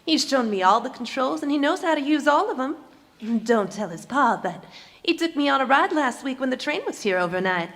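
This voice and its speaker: A woman's voice: high voice, expressive, a little fast, a bit bright. An actress playing an eager, headstrong eighteen-year-old frontier girl with folksy phrasing in an old-time western radio drama. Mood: bright admiration. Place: performing arts center stage. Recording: echoey hall, live stage performance.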